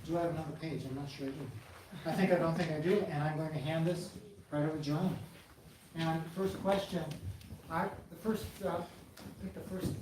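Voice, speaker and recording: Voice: mid-range voice, fairly even intonation, fairly quick. A moderator hosting a live forum, giving instructions hesitantly with fillers and self-corrections. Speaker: event moderator. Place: large hall at a live forum. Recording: echoey hall, far from mic, audible room noise.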